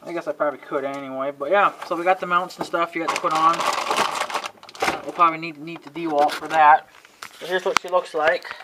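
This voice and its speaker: A man with a slightly high voice talks through a hands-on job for his viewers. He is offhand and folksy, thinking aloud as he works. The homemade recording carries some background noise. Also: sharp tone, low-quality recording. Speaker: amateur DIY video maker. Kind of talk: casual hands-on vlog commentary.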